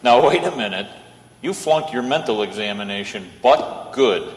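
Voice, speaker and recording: Man as earnest, animated radio-drama lawyer. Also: medium pitch, moderate pace, natural voice. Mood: urgent, earnest. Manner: earnest, persuasive. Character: earnest, loyal, resourceful male lawyer. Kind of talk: old-time radio play acting. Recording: echoey hall with long reverb.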